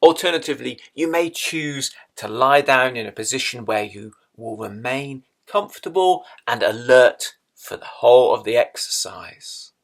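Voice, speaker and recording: A man leading a guided meditation in a measured way, leaving long pauses between phrases. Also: mid-range voice, expressive, creaky.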